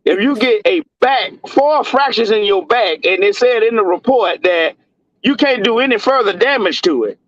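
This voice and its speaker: A man's voice: high-pitched, lively intonation, moderate pace, fairly bright tone, muffled recording. A man in his forties co-hosting a casual sports chat, laid-back and repeating himself, often laughing between points. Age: 40s. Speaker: podcast co-host.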